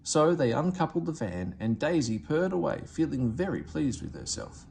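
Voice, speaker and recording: A man narrates a children's story with expressive, swooping intonation. His voice has a gravelly edge at an ordinary male pitch, and there is faint noise behind him. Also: normal speed. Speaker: storybook reader.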